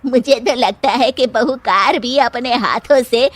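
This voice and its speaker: A woman voicing an older mother-in-law in a cartoon story, indulgent and gently teasing. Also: on the high side, unhurried, dry recording. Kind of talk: animated cartoon story dialogue.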